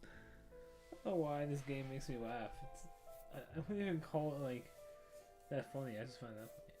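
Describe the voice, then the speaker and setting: Chatty man over loud game music. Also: mid-range voice, expressive, moderate pace. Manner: chatty, laughs often, rambling asides. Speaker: let's play streamer. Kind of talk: casual gameplay commentary.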